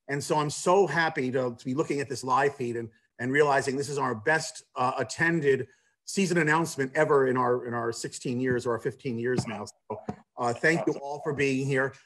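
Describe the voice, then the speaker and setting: A man with a somewhat high voice presents information informally over a video call. He speaks haltingly, often pausing on ums and restarting his phrases as he explains things. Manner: hesitant, frequent ums and trailing restarts. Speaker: theater staffer presenting subscription details. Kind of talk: informal online announcement.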